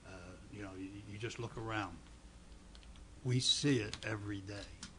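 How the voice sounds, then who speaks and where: An older man, the vice mayor, speaking hoarsely with a cold in folksy, stammering council remarks at a desk microphone. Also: on the low side, fairly even intonation, normal speed, pushed and bright, slight background noise. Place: council chamber, seated at dais.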